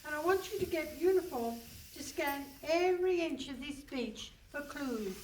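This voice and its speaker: Radio comedy role: bossy older English female detective played by an actress, brisk and dry, high voice, distant stage mic. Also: expressive. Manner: brisk, dry, condescending, calling people pet and love. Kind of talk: comic radio play before a live audience. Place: hall stage with a live audience. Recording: distant stage pickup with some noise.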